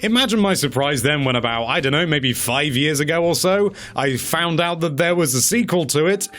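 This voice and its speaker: A man presenting a countdown in a casual, opinionated commentary style, wry and given to mock-dramatic emphasis and drawn-out words. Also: fairly high pitch, animated, a bit bright, soft background music. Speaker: presenter of a video game movie countdown.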